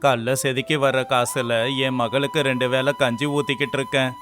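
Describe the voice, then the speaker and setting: A man playing a poor, honest sculptor who speaks pleadingly in an animated folk tale. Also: medium pitch, normal speed, close to an everyday voice. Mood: distressed, pleading. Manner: pleading, deferential. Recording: music behind the voice.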